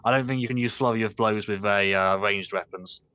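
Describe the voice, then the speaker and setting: A man's voice: fairly low pitch, fairly even intonation, brisk, sharp tone, clear, resonant tone, dry recording, muffled recording. A man running a tabletop game as game master, explaining rules with frequent restarts and corrections. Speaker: dungeon master.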